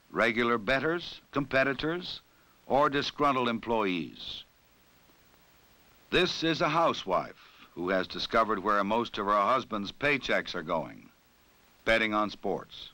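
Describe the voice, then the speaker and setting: An American man narrates a 1960s law-enforcement training film in a bright, forceful voice. His delivery is formal and measured, with the authority of a scripted documentary. The sound is dry and close, with no room around the voice. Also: medium pitch, no room sound, low-quality recording.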